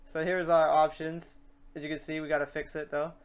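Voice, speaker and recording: A man with a clear, smooth voice set a little high talks through a software tutorial. He is informal and rambling, thinking out loud as he works at his computer. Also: level tone, fairly quick. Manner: informal, rambling, thinks aloud while working. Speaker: tutorial host. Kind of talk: casual screen-share software tutorial. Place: indoors at a computer.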